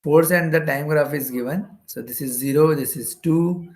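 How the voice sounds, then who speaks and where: A man's voice: fairly high pitch, normal speed. A man with an Indian accent teaching step by step as a lecturer, often repeating phrases and checking in with "okay". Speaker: physics teacher. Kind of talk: explanatory exam-prep lecture working through problems step by step. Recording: roomy echo.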